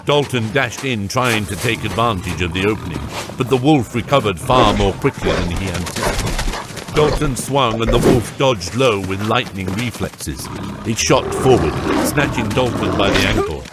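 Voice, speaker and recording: A man's voice: on the low side, expressive, normal speed, very noisy. A man narrating a scripted fantasy audiobook. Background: loud music.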